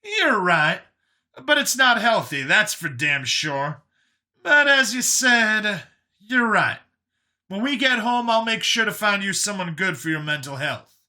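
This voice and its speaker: An older human man in a sci-fi audiobook acted out by a male narrator, high and very bright. He talks in a breezy, emphatic way, wry and teasing like a would-be stepdad. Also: sing-song, measured pace, heavily acted. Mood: scolding, earnest.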